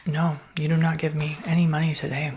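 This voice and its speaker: A woman whispering in a breathy voice with a creaky undertone, giving casual, reassuring sales patter as an ASMR roleplay. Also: deep voice, little pitch movement, fairly quick, background noise.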